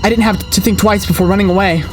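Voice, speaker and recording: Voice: mid-range voice, fairly even intonation, fast, music in the background. A streamer reading a horror story aloud with dramatic expression and the occasional stumble.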